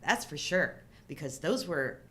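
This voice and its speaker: A middle-aged woman co-hosting a show in casual movie chat, talking informally in halting fragments. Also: expressive, fairly bright tone. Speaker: co-host of a live chat show. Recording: low rumble and background noise.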